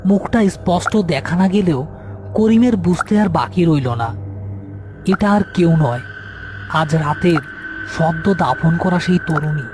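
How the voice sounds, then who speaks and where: A man's voice: a little high, expressive, a little slow, long pauses, over music. A man reading a scripted horror story as its narrator.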